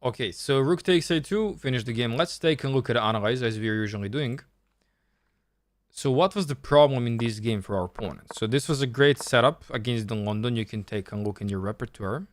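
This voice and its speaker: A man's voice: mid-range voice, fairly quick, slight background noise. A man from Serbia with a Serbian accent, thinking aloud and explaining step by step as he coaches on a live chess stream.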